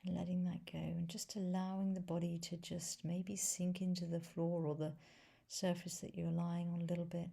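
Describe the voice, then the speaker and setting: Low, husky woman guiding meditation softly. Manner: soft, gentle, breathy delivery with long pauses. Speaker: meditation teacher. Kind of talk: guided body scan meditation.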